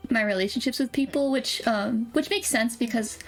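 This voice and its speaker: A woman's voice: fairly high pitch, normal speed, soft background music, low-quality recording. A woman chatting casually and enthusiastically as a guest on a tarot stream, often giggly. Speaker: guest getting a tarot reading. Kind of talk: casual chat.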